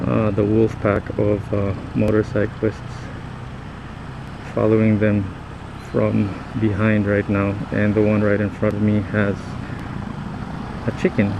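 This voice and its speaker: Loud motorbike and traffic noise surrounds a man from the Philippines whose first language is Tagalog. His voice is fairly low and quite even as he rambles reflectively in a casual vlog, pausing and hesitating, recorded on a helmet lav mic. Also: vocal fry, dull audio. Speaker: moto vlogger. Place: outdoors, riding a motorcycle in traffic. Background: motorbike engine and road traffic noise.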